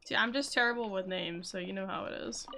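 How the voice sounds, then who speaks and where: A woman's voice: medium pitch, brisk, vocal fry, music in the background. A woman livestreamer chatting casually as she plays, rambling informally to herself and her viewers. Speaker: game livestreamer. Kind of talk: casual chat while playing.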